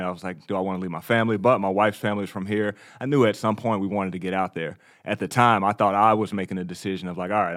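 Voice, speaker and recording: An American man from Texas, a podcast co-host, telling his own story in a casual, conversational way. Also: low voice. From Texas, United States.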